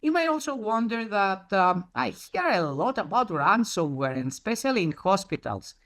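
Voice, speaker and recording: Presenter with a lively, swooping intonation. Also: medium pitch, normal speed. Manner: didactic, poses listeners' questions then answers them. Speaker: conference presenter. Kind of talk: explanatory presentation with rhetorical questions.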